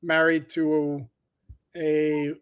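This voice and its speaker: A man whose first language is French, co-hosting a casual sports podcast with a joking, animated manner. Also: a little high, very slow, clear, resonant tone. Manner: joking, animated, laughs at his own jokes. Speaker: podcast co-host.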